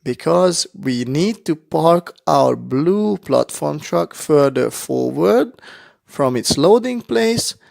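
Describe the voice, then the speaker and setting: A man's voice: on the high side, vocal fry, darkish tone, no echo, studio quality. A man narrating a toy-play bedtime story for young children, explaining things simply as he goes.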